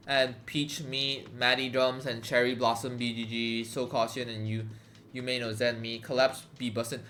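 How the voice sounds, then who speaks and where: A man's voice: medium pitch, sharp tone. A young man chatting casually on a live stream, rambling with many fillers and swearing freely. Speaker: livestreamer.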